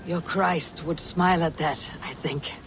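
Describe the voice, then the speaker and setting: An actor voicing a Viking leader with a calm, earnest manner. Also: male voice, a little high, measured pace. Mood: steady. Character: steady male Viking leader. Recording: game cutscene with loud rain noise.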